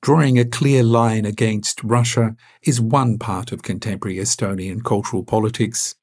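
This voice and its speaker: Casting: male radio reporter, husky, steady scripted read. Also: lowish voice, normal speed. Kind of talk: scripted news narration.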